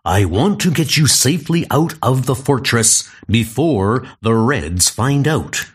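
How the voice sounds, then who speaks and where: A man's voice: medium pitch, animated, measured pace, a little hiss or hum, lightly acted. A man voicing a mighty Norse thunder god who speaks in a heroic, commanding way, with music behind. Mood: urgent.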